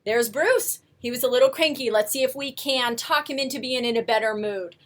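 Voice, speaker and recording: A woman storyteller reading a picture book aloud to young children, with expressive, coaxing asides to her listeners. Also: on the high side, brisk.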